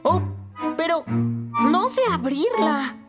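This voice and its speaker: A woman's voice: extremely high pitch, very animated, extremely slow, exaggerated voice. A woman voicing a mischievous, whiny young boy with a wheedling, dramatic way of talking in a Latin American Spanish cartoon dub. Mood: whiny, reluctant. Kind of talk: animated children's series dub. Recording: music laid under the dialogue.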